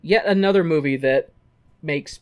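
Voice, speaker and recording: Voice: mid-range voice, normal speed, clear, resonant tone. A podcast co-host chatting casually and recapping a story in an irreverent, profane, jokey way with quoted lines thrown in.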